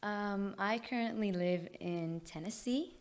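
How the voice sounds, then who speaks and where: A woman from Israel with an Israeli accent, a singer-songwriter answering interview questions thoughtfully with frequent pauses. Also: mid-range voice.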